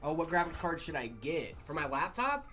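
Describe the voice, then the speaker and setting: A man's voice: fairly high pitch, very animated, moderate pace, over loud music. A man hosting a livestream, chatting casually in informal bro slang with lots of hesitant fillers as he reads out and answers viewer comments. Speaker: livestream host at a PC builder.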